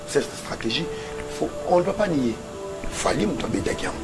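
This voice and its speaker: A man makes his point emphatically in a played clip. Loud music runs under his voice. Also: medium pitch.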